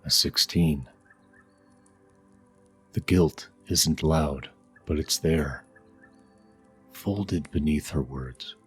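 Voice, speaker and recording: A man narrating a scripted ASMR fantasy game as game master, hushed and deliberate, with dramatic pauses. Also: a bass voice, breathy edge, faint music behind.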